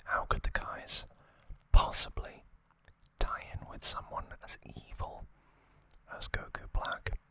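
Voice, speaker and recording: Very bright, slow ASMR whisper. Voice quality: breathy unvoiced whisper. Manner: slow, hushed, pausing between short phrases. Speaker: host of an ASMR channel. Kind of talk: ASMR whispered anime recap and trivia.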